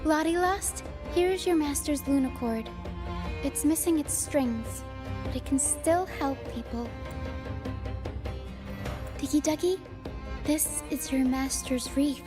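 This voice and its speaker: Gentle high-voiced young stage performer. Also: female voice, slow, long pauses. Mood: gentle, grateful. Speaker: video game character. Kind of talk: game voice acting. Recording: music behind the voice. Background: music.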